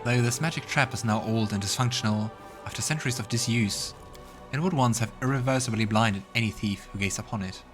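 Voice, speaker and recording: A man's voice: medium pitch, a little fast. A man hosting a let's play, mixing casual game commentary with narration read aloud. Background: game music.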